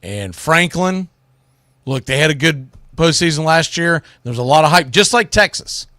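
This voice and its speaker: A man's voice: on the high side, lively intonation, moderate pace, sharp tone, no echo, clean studio sound. A man hosting sports radio, giving opinionated college football commentary in a blunt, emphatic, colloquial way.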